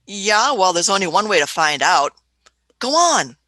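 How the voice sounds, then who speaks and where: Elderly eccentric female explorer, played by an actress, bright, swooping, dithering and gently scolding, dry close sound, radio drama. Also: medium pitch, sing-song, a little slow, no room sound. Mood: curious. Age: older. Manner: dithering, repeats words, punctuates with questioning hums. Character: elderly, fussy, musing female scientist-adventurer, gently scolding. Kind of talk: radio play acting.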